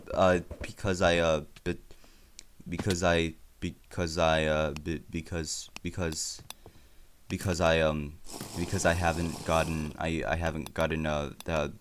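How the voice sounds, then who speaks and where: A man's voice: a bass voice, slight background noise. A teenage boy whose first language is Spanish, telling a rambling, self-deprecating story while playing a game, often stammering and restarting. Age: teens. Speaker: YouTuber.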